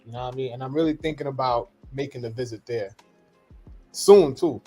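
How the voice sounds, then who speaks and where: A man's voice: medium pitch, a little fast, dark tone, faint music behind. A man from Trinidad, co-hosting a casual podcast chat and talking bluntly with jokes and repeated words. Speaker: podcast co-host.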